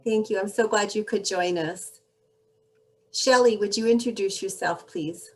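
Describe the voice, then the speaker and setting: A woman hosting an online meeting over a video call, introducing each guest warmly and graciously. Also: medium pitch, a little slow. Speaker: meeting host.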